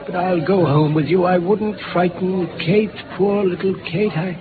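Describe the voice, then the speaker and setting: An actor playing a befuddled, self-pitying opium addict, halting and rambling, in an old-time radio drama. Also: male voice, sits high, steady tone, a crowd in the background, noisy background. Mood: dazed, confused. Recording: tinny old radio recording with music.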